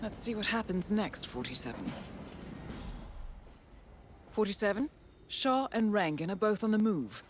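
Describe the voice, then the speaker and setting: An actress playing a calm, clinical handler who guides an assassin in a video game, composed and dryly professional. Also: female voice, mid-range voice, a little slow, pauses often, slight background noise, natural voice. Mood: cool briefing. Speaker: mission handler. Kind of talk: scripted video game dialogue.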